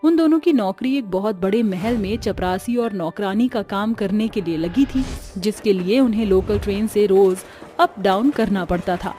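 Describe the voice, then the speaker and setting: A woman's voice: medium pitch, normal speed, warm tone, faint music behind. A woman narrating a scripted cartoon story as its storyteller.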